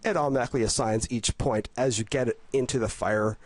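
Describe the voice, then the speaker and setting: A man's voice: medium pitch, fairly even intonation, no echo. Congested man narrating gameplay. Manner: enthusiastic, halting, with many restarts and fillers. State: congested with a cold, a bit nasal. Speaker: game guide reviewer. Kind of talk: casual gameplay voice-over commentary. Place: at home.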